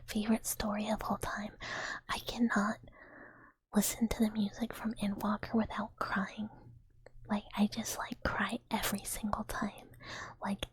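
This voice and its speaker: Breathy whispering woman. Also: mid-range voice, monotone, unhurried, no room sound, poor audio. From United States. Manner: giggly, rambling, frequent "like" fillers. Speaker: streamer. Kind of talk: whispered casual chat with viewers.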